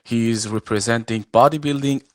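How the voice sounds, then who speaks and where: A man from Iran whose first language is Farsi, talking casually and haltingly on a video call, full of fillers and restarts. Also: medium pitch. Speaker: guest, arm wrestler and referee. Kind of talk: casual live-stream chat. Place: at home, arm wrestling table behind.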